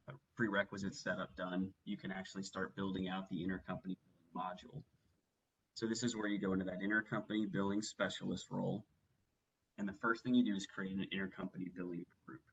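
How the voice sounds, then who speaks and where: Low, husky man presenting training. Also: fairly even intonation, brisk, rough recording. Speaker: software trainer presenting a webinar. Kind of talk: instructional software walkthrough, semi-scripted presentation.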